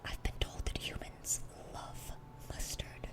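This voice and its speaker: A woman's voice: slow, loud music behind the voice, close to an everyday voice. A woman playing a dorky, affectionate young demon girl in a whispered ASMR roleplay, rambling and repeating herself eagerly. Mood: proud, excited.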